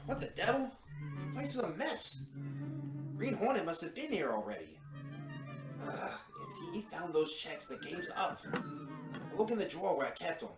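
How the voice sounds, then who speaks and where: Shifty crook, wildly swooping pitch, roomy echo male actor. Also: sing-song, moderate pace, pauses often, music in the background. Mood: furtive muttering. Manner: muttering to himself, blustering. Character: crooked male judge's secretary, shifty, indignant, easily flustered. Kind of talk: old-time radio drama acting.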